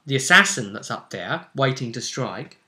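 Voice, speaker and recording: A man retelling a tabletop roleplay session as game master, in animated, emphatic conversational storytelling. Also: medium pitch, normal speed.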